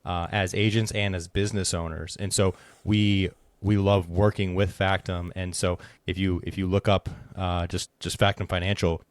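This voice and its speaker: A man with a low voice hosts a podcast interview. He speaks conversationally, and the sound is dry and close, with very little room in it. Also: flat delivery, normal speed, few pauses, no room sound. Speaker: podcast host and insurance agent.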